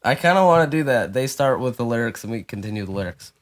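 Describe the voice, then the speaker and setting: A man's voice: medium pitch, expressive, crowd noise. A young man co-hosting a comedy podcast, riffing with goofy wordplay. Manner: goofy, quick wordplay and riffs. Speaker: podcast co-host. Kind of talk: improvised comedy podcast banter.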